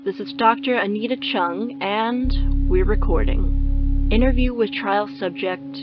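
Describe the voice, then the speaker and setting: An actress playing a calm woman doctor, interviewing trial subjects in a neutral, procedural way. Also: mid-range voice, a little slow, lo-fi sound, over music, lightly acted. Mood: clinical, calm. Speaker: research interviewer. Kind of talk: scripted recorded clinical interview in audio drama.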